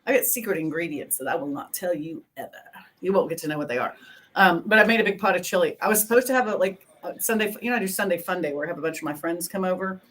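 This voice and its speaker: Woman, 50s, from Texas, lowish voice, crude joking podcast banter. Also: fast, a bit bright.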